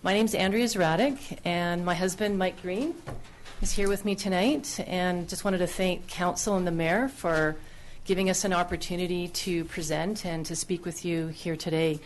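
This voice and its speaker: A woman's voice: lowish voice, steady tone, normal speed, slight background noise. A woman presenting her business plan at a town council public hearing, speaking earnestly into a podium microphone with frequent fillers and restarts. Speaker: business applicant. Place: council chamber.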